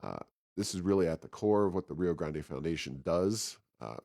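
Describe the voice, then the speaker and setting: A man with a deep voice co-hosts a podcast in a very dry-sounding recording. He moves through commentary and announcements casually, in a conversational, somewhat halting way. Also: lively intonation, creaky, darkish tone, no echo. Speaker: podcast co-host.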